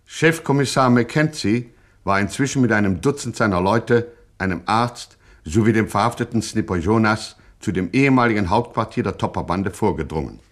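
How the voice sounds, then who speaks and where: A man's voice: fairly low pitch, normal speed, natural voice. An actor as a matter-of-fact male narrator, reading scripted narration in a radio play.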